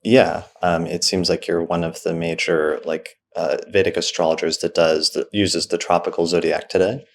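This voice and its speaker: A man's voice: deep voice, little pitch movement, normal speed, hardly any pauses. A man hosting a podcast, asking brief, friendly interview questions with some fillers. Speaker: podcast host.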